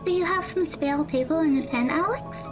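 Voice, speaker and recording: Very high, earnest schoolgirl played by a woman. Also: moderate pace, over music, natural voice. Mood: encouraging, helpful. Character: earnest, studious high school girl, encouraging tutor. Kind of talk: audio drama acting.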